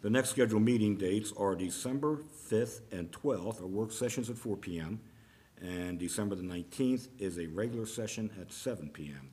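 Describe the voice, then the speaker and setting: A man acting as mayor, chairing a city council meeting in a council chamber, brisk and plain-spoken with frequent fillers. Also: fairly low pitch, a little mellow. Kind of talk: formal meeting chair with announcements.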